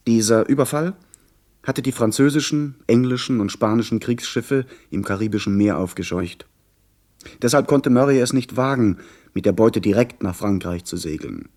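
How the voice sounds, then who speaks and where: An actor playing an earnest, literate young gentleman who narrates his pirate adventure in a measured, articulate way in a radio play. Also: mid-range voice, natural voice. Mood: earnest recounting.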